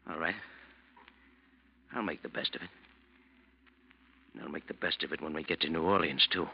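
An actor playing a gruff, scornful, scheming ship's first mate in a classic radio drama, with a blunt, bullying, sarcastic delivery. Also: male voice, a bass voice, normal speed, heavily acted. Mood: menacing. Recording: muffled vintage radio recording.

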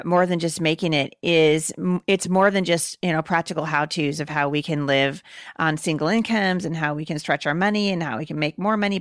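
A woman's voice: fairly low pitch, no room sound, studio quality. A woman from the Portland area hosting a podcast, chatting casually with a guest and laughing easily. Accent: Portland, Oregon. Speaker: podcast host.

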